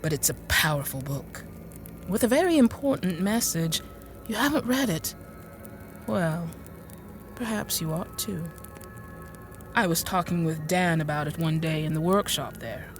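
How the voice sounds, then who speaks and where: A woman's voice: fairly low pitch, lively intonation, unhurried, long pauses, faint music behind. An actress playing a genteel, infirm woman inventor in a radio drama, with courteous, hesitant, old-fashioned speech. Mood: polite, hesitant. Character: genteel, infirm middle-aged woman inventor, politely apologetic and bookish. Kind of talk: radio drama monologue and dialogue.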